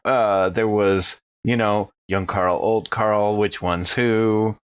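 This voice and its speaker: A man's voice: fairly low pitch, fairly even intonation, slow pace, smooth, no echo, highs cut off. A man playing in a tabletop role-playing game, talking casually in and out of character. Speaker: player.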